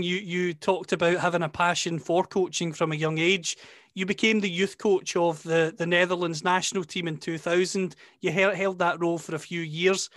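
A man's voice: high-pitched, fairly bright tone, no room sound, studio quality. A man with a Scottish accent hosting a football interview and putting questions to his guest. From Scotland. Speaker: interviewer and host.

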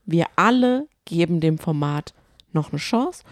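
A woman with a slightly low voice co-hosts a podcast about television. She talks in a casual, conversational way, recorded dry with hardly any room sound. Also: expressive, a little slow, no echo. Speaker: podcast co-host. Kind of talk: casual chat about reality TV shows.

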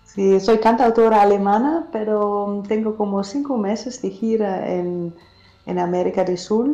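A German-accented woman speaks slowly and hesitantly, pausing to find her words. Her voice is mellow and low in brightness. The sound is muffled and echoes as if in a room. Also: steady tone, soft background music. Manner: hesitant, searching for words, soft-spoken. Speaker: guest singer-songwriter. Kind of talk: casual interview.

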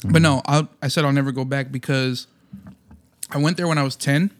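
A man's voice: mid-range voice, steady tone, fairly quick. A man in his thirties from the Munster area, telling rambling, profane stories in casual podcast chat. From Munster, Indiana area.

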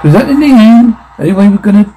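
Man acting a showy, excitable radio DJ survivor. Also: sits high, quick pace, faint music behind, pitched above the performer's own voice. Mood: rousing, showy. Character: eccentric, excitable male radio DJ, survivor, cheerful showman. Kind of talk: radio DJ patter in a drama.

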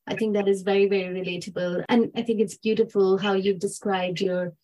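A woman's voice: medium pitch, steady tone, a little fast, warm tone, poor audio. A woman hosting an interview podcast, responding in a thoughtful, affirming way. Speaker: podcast host.

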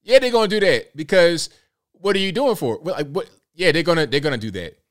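A man in his late thirties hosting a casual livestream, talking in a relaxed way and reading out viewer comments. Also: fairly high pitch, brisk. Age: late 30s. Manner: laid-back, conversational, repeats himself. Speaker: livestream host.

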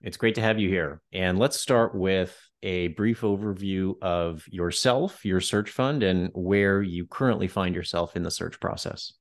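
A male podcast host with a very deep voice. He speaks in a measured way, recapping and framing his guest's ideas. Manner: measured, summarizing. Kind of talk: interview hosting.

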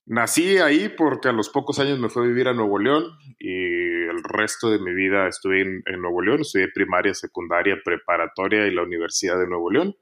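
A man, a podcast guest telling his life story in a relaxed, casual way. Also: on the low side, brisk, few pauses. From Mexico.

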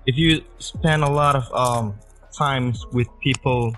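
A young man with an Indonesian accent and a deep bass voice, chatting casually as a guest and often repeating words while he thinks. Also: steady tone, takes it slowly, a bit bright, faint music behind. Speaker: interviewee. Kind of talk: casual conversation.

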